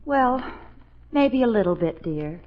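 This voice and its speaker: Loyal female assistant, high-pitched, earnest, fretful, soothing, 1940s radio drama, dull vintage recording. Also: lively intonation, takes it slowly. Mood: worried.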